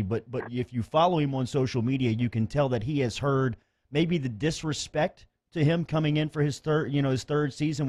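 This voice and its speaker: A man's voice: medium pitch, a little mellow. A man hosting a sports radio show, interviewing in a conversational style.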